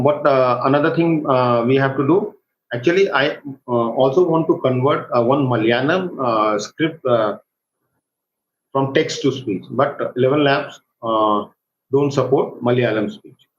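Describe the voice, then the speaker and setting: A man with an Indian accent giving a live step-by-step software tutorial as an instructor, haltingly, often checking for agreement with short tag questions. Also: mid-range voice. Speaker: workshop instructor. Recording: echoey room, dull lo-fi sound, faint noise.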